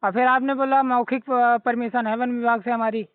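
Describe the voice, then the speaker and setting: This is a man with a strikingly high voice and an Uttar Pradesh, North Indian accent. He pushes his points in an insistent, confrontational lecture. The sound is a dry, thin, narrowband phone recording. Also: flat delivery, normal speed, smooth, no echo. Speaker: complainant on a phone call. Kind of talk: argumentative phone conversation. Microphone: phone line.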